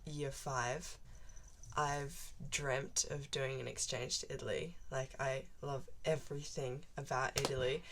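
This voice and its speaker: A teenage Australian girl talks straight to camera in a chatty, meandering way, stringing thoughts together with lots of "like". Her voice sits low for a young woman. She sounds relaxed and unscripted, as in a first vlog. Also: a little slow, slight background noise. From Central Australia. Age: teens. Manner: chatty and rambling, many "like" fillers. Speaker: vlogger. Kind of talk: casual chat to camera.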